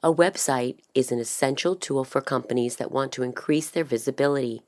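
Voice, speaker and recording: A woman with a very deep voice for her sex narrates a scripted explainer. She delivers it like a voice-over artist, in a measured and instructional manner.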